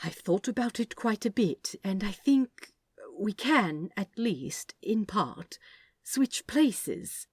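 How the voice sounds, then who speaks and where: An earnest, fussy male angel from a storybook reading, played by a woman, speaks in a raised, swooping voice. He explains things haltingly and anxiously, in a dry, close recording. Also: mid-range voice, lively intonation, darkish tone, breathy edge, dry recording, voice pushed up. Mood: earnest, explaining.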